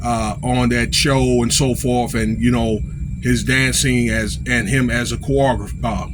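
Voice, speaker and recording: A man with a mid-pitched voice delivers off-the-cuff commentary. His delivery is halting and informal, punctuated by small check-in phrases. The recording has clearly audible background noise. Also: steady tone. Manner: halting delivery, frequently checks in with "okay" and "all right". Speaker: online commentator.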